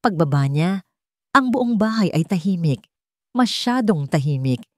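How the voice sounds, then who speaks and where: A woman's voice: fairly low pitch, big pitch swings, a little slow, no echo, clean studio sound. A woman with a Filipino accent reading a scripted dramatic story as its narrator.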